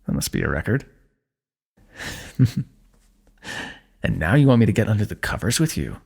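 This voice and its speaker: A man performing an intimate fantasy roleplay for ASMR, speaking softly in a teasing, affectionate way. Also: lowish voice, sing-song, normal speed, gravelly, slightly rough. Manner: soft, teasing and affectionate, with frequent light laughs.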